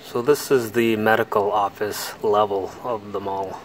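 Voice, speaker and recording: A man narrating a walking tour through a quiet indoor mall while filming, talking in a relaxed way with pauses. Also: on the low side, a little hiss or hum, rough recording. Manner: relaxed, unhurried commentary with pauses. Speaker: mall explorer vlogger. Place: large indoor mall, mostly empty.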